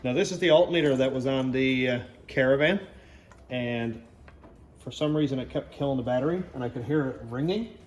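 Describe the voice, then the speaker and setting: A man's voice: mid-range voice, a little fast, echoey room. A man chatting casually as he hosts a DIY car build vlog, thinking aloud and muttering to himself as he works.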